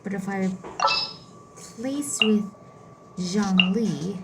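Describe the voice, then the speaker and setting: A woman giving casual, halting gameplay commentary, thinking aloud and repeating phrases as she plays. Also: mid-range voice, very noisy. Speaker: gamer narrating a playthrough. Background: loud game music.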